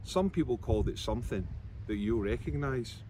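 A man's voice: medium pitch, animated, a little fast, dark tone. A man in his fifties from Scotland, an author reading narrative prose aloud from his own book, outdoors. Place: outdoors by a seafront concrete wall. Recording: outdoor recording with background noise.

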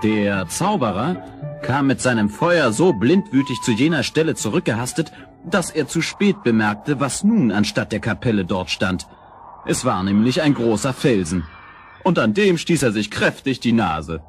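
A man narrating a scripted children's fairy tale as storyteller, in a measured, expressive way. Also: medium pitch, faint music behind.

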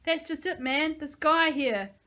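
Woman voicing male hostage, loud, desperate pleading. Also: high-pitched, a little slow, pitched above the performer's own voice. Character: frightened man held hostage, begging.

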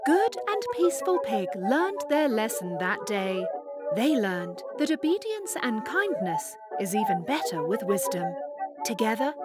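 Slow, expressive woman storyteller. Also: mid-range voice. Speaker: narrator. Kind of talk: storybook narration for children. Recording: children's story with loud music.

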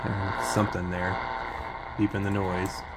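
A man giving low-key, tentative casual commentary as a radio hobbyist. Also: fairly even intonation, unhurried, creaky. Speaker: shortwave radio hobbyist narrating. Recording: loud radio static and hiss. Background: shortwave radio static.

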